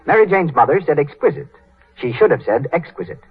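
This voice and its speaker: A man's voice: a little high, sing-song, fairly quick, slightly rough, soft background music. A man with an American accent announcing in 1930s radio style. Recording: tinny, band-limited 1930s radio broadcast.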